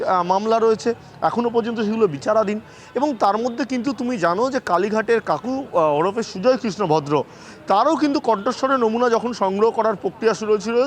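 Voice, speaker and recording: Bengali guy from west bengal doing live news analysis, high voice, halting and repetitive, bit of background noise. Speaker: news correspondent. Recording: clear background noise and ambience.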